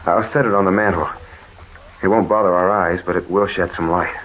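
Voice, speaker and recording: An actor playing an earnest, soothing, firm brother in an old-time radio horror drama. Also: male voice, low voice, fairly even intonation, fairly quick, close to an everyday voice. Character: steady, reassuring brother calming his frightened sister. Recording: muffled, faintly noisy old radio recording.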